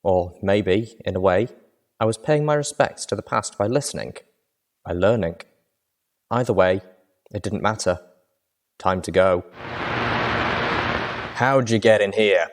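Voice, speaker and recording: A man's voice: sits low, a little slow, pauses often. A man reading first-person dramatic fiction as an audiobook narrator.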